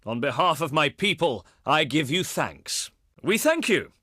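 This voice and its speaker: A proud, cultured Greek statesman of antiquity for a video game. His delivery is highly animated, with a fairly high male pitch and a close, dry sound. He speaks formally and grandly, with wry, pompous flourishes. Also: measured pace, dry recording, full character voice. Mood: pleased, grateful. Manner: pompous, flowery, formal oratory. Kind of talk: theatrical game diplomacy voice lines.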